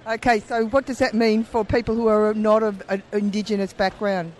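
A man reporting for community radio, briskly asking people questions at an outdoor rally. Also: high-pitched, little pitch movement, a little hiss or hum. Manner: brisk, friendly, prompting questions. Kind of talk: street vox pop interviewing.

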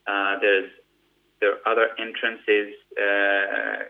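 Guy from gaza on a call, lowish voice, earnest, long rambling answers, sounds tinny like a phone. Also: steady tone, slow pace, creaky. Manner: earnest, long explanatory answers with many restarts. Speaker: guest. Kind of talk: interview answer.

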